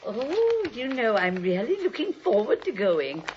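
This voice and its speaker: A woman's voice: fairly high pitch, wildly swooping pitch, measured pace, a little mellow, slight background noise. An older woman playing a sweet, genteel, batty aunt in 1940s radio comedy style, with a fluttery, polite manner. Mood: sweet, fussy. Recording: dull vintage 1940s radio recording.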